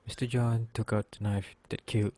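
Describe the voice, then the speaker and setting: A man serves as narrator for a reader's theater drama. His voice sits fairly low. He delivers scripted storytelling lines between the acted parts. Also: level tone, normal speed, warm tone, slight background noise.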